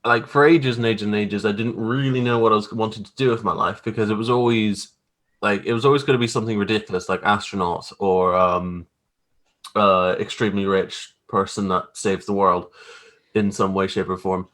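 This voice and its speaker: A man's voice: on the low side, fairly even intonation, normal speed, fairly bright tone. A man in his thirties with a Belfast accent, telling anecdotes in an informal, rambling, self-deprecating way. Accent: Belfast, Northern Irish. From Northern Ireland. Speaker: podcast guest, graphic artist. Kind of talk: casual chat, anecdotes.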